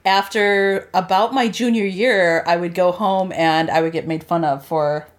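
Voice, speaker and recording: A woman's voice: lowish voice, steady tone, a little slow. A young woman from the Chicago area co-hosting a casual podcast chat, laughing often between her phrases. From Chicago area, Illinois. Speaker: podcast co-host.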